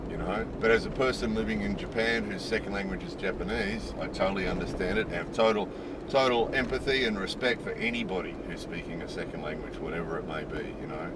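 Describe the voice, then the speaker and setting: A man's voice: low-pitched, fairly even intonation, fast. A middle-aged man, chatting casually to camera in a rambling way with lots of "you know". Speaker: vlogger living in Japan. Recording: outdoor street noise.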